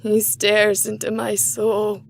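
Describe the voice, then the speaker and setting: A woman playing an excitable, chatty young streamer exploring a haunted place in a visual novel, with an expressive, playful delivery. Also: mid-range voice, takes it slowly, vocal fry, slight background noise. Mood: dread, exasperated.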